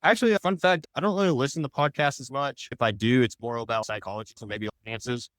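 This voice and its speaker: A man's voice: medium pitch, fast, fairly bright tone, no echo. A man giving casual, rambling interview answers as a podcast guest, in loosely phrased explanations. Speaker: podcast guest, audio drama creator.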